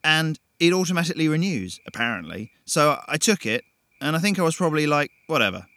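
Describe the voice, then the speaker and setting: A man voicing a cocky, excitable young man who talks loud and high. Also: no echo, clean studio sound, heavily acted. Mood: breezy excited. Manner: fast, loud and high-strung.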